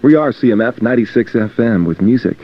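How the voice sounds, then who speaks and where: A man's voice: mid-range voice, expressive, fast. A man working as a radio DJ, announcing music and reading station promos. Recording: dull lo-fi radio aircheck tape.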